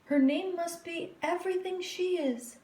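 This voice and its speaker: A woman's voice: very high voice, a little slow, mellow, voice pushed up. A woman voicing a doting, reassuring storybook mother with a gushing, singsong manner. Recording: roomy echo, faint noise.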